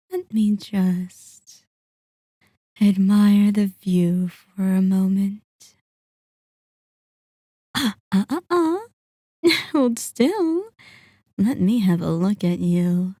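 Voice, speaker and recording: A woman playing a seductive, sadistic vampire in an ASMR roleplay, courtly and teasing, with breathy murmurs. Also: mid-range voice, mellow, no echo, studio quality. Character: seductive, sadistic, possessive female vampire, toying host. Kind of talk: ASMR roleplay, scripted character acting.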